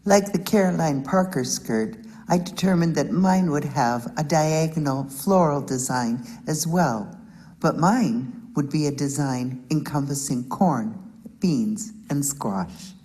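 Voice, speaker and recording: A middle-aged woman, a beadwork artist, giving a measured, prepared narration about her work. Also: sits low, mellow, in a large hall. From Wisconsin, USA.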